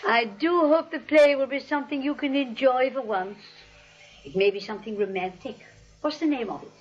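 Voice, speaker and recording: An actress playing a sweet, unflappable elderly aunt, genteel and matter-of-fact, in a 1940s screwball comedy style. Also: female voice, high voice, a little fast, faint music behind. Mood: delighted, fussy. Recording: muffled, dull vintage 1940s soundtrack.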